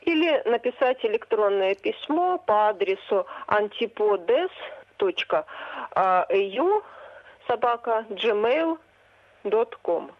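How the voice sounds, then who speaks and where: A woman's voice: medium pitch, lively intonation, slow pace. A woman guest in a radio interview, speaking by phone in a reflective, descriptive way. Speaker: interview guest, literary association organizer. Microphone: telephone. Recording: tinny phone line.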